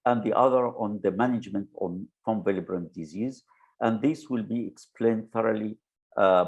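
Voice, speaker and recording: Halting webinar moderator, man, low and gravelly, lots of fillers. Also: darkish tone, highs cut off. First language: Arabic. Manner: halting, many "uh" fillers and restarts. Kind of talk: hesitant webinar presentation.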